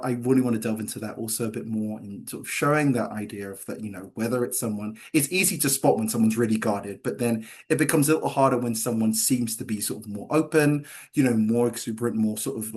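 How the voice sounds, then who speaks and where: A man speaking as a screenwriter in a Q&A, halting and hedging as he explains, often repeating himself. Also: lowish voice, darkish tone. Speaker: screenwriter answering questions. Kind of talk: post-reading Q&A discussion.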